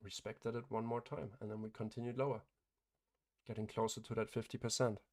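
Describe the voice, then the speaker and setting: A man talks viewers through his trades on a livestream in a flat, matter-of-fact way. His voice has a gravelly fry, and he is hoarse as it starts to give out. He keeps clearing his throat. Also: fairly low pitch, a little fast. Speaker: day trader hosting a livestream. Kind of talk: live trading commentary.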